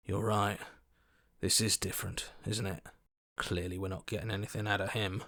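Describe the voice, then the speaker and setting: A suspicious male strategist from a video game, with a fairly low, crisp-edged voice. He is calculating and insistent, questioning things deliberately, recorded very dry. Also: fairly bright tone, no echo. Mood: suspicious, irritated. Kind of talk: dramatic video game voice acting.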